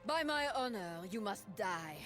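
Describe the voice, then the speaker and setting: An actress playing a fierce, cocky assassin in a fantasy game, with a menacing, curt, taunting manner. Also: female voice, medium pitch, lively intonation, unhurried, a bit bright, soft background music. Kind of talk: video game character lines.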